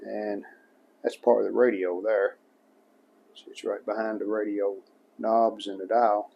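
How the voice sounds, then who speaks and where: Male hobbyist restorer, lowish pitch, very slow delivery, casual plain-spoken workbench narration. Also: little pitch movement, vocal fry, dull audio. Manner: unhurried, plain-spoken thinking aloud while working. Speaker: hobbyist restorer presenting a repair video. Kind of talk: casual hands-on repair narration.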